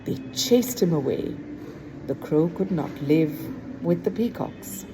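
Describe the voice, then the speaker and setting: Woman teacher, lowish voice, expressive children's read-aloud narration. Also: noisy background. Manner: expressive, slow storybook reading with lively pitch. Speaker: teacher reading to a class.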